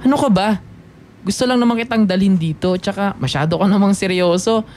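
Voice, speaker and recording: A woman's voice: medium pitch, brisk, darkish tone, a little hiss or hum, lightly acted. A woman playing a cocky, laid-back rich male college student in a radio drama, with a Filipino accent and some English mixed in. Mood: breezy, teasing. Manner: nonchalant, defensive, mixes Tagalog and English. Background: faint music.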